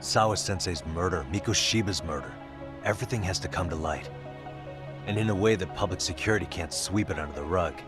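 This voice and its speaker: An actor playing a cool, confident detective in a video game cutscene, wry, blunt and challenging. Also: male voice, low voice, fairly quick, over music, natural voice. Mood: grimly determined. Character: cool, confident male private detective, dogged and sardonic.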